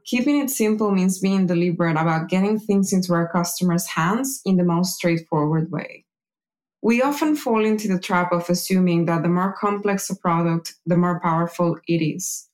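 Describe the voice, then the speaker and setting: A woman reading scripted narration in a measured, explanatory way, as an engineering manager presenting. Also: fairly low pitch, a little mellow. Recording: music behind the voice. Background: music.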